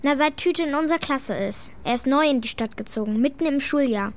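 A woman's voice: high voice, brisk. A female performer playing an earnest, frank schoolgirl in a children's radio play, speaking in a matter-of-fact, childlike way. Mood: frank, defensive.

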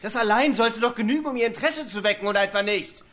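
A man's voice: sits high, quick pace, fairly bright tone. Urgent, desperate male double agent. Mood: urgent, pleading. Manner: urgent, pleading. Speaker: narrator and lead character. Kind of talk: radio crime drama acting.

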